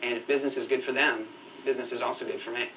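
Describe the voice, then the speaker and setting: Bright, fast-talking man in a testimonial. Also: medium pitch, little pitch movement. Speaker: business owner giving testimonial. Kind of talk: short on-camera testimonial. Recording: slightly distant, faint noise, VHS dub.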